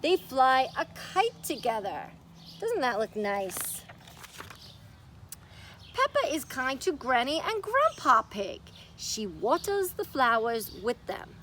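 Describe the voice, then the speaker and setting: A woman's voice: sits high, sing-song, takes it slowly, fairly bright tone, slight background noise. A woman presenting a children's story time, reading aloud and giving simple, encouraging explanations. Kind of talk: children's storytime read-aloud and craft instruction.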